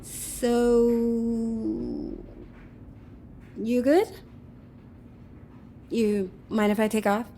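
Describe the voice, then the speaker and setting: Flippant, high-voiced smug woman. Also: steady tone, extremely slow, dark tone, slight background noise, low-quality recording. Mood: casual. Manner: flippant, sardonic. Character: breezy, smug woman with the upper hand over a man. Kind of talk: audio drama acting.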